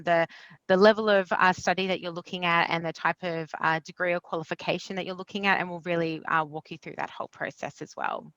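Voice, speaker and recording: A woman's voice: fairly low pitch, pushed and bright, no echo. A young woman from Australia hosting and introducing a webinar, chatty and full of ums and uhs. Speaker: event host, organisation representative.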